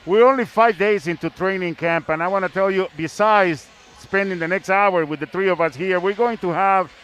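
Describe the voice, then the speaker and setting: A man's voice: high-pitched, normal speed, a bit bright. A man hosting a live sports discussion, often repeating phrases as he builds up to his questions. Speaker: sports broadcast host. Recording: faint background noise.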